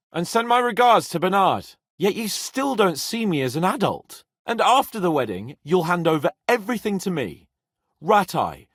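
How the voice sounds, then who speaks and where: A man's voice: sits high, breathy edge, dry recording, clean studio sound, exaggerated voice. An actor playing a haughty, hot-tempered young medieval nobleman in a video game, with a petulant, exclamatory manner. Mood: anxious, sulky. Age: young adult.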